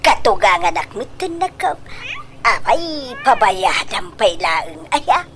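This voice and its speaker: A woman acting a whiny, slow-talking mother-in-law. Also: medium pitch, very animated, noisy background. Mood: flustered, indignant. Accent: Ilocano. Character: scheming, indignant mother-in-law dodging her debts. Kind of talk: comedic Ilocano radio drama acting.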